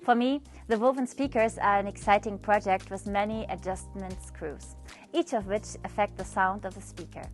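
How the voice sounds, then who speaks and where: A woman's voice: medium pitch, steady tone, normal speed. A woman, giving a scripted presentation as an artist. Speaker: textile artist presenting own project. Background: music.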